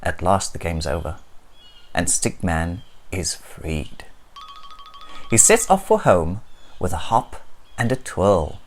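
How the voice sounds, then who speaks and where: A woman narrating a children's picture book aloud in an expressive storytelling style. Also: extremely low pitch, takes it slowly, pauses often, soft background music.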